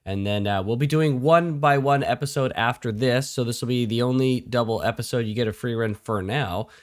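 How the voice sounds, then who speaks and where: A man co-hosting a casual show of reactions to anime, his voice raspy and hoarse as he recovers from losing it, chatty and full of likes and ums. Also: medium pitch, brisk. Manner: chatty, says like and um often. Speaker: co-host.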